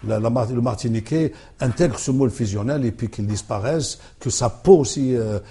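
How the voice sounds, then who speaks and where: Halting, husky-voiced male author. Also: medium pitch, dark tone. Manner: halting, many restarts and fillers, thoughtful. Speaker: author, interview guest. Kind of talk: hesitant conversational interview.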